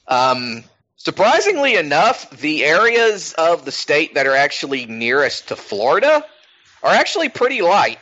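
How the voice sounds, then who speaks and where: A man's voice: on the high side, wildly swooping pitch, moderate pace, sharp tone. A man hosting a casual podcast chat, rambling with lots of fillers and frequent swearing. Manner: profane, rambling, many fillers. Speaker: podcast host. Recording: dull lo-fi sound.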